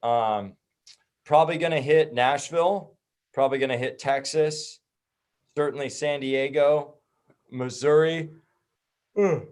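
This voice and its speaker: A man's voice: a little high, a little slow, pauses often, darkish tone. Easygoing American man chatting casually. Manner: loose, joking, folksy. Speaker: guest, activist group organizer. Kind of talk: casual chat.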